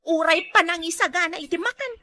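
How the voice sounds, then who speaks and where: A woman's voice: extremely high pitch, fairly quick, sharp tone, dry recording. An actress playing an overworked young woman who does all the chores and keeps complaining. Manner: complaining, put-upon.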